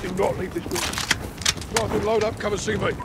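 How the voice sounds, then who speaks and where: An actor playing a decisive veteran British special forces captain giving clipped, authoritative orders in a video game. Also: male voice, high voice, steady tone, a little fast, heavy background noise. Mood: brisk command. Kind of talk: video game military drama.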